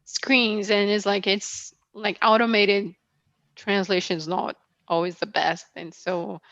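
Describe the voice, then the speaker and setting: A woman's voice: medium pitch, level tone, unhurried, a bit bright, no echo, muffled recording. A woman from Brazil, not a native English speaker, answering questions after her talk in a casual, hesitant way with many fillers. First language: Portuguese. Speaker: guest speaker.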